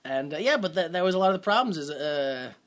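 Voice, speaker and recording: Man, bright tone, casual podcast chat, rambling and sarcastic. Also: a little high, expressive. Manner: rambling, sarcastic, quick asides. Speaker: podcast co-host.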